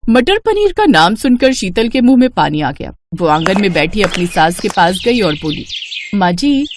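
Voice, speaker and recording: A woman narrating a scripted moral story as an expressive storyteller. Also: medium pitch, moderate pace, slight background noise.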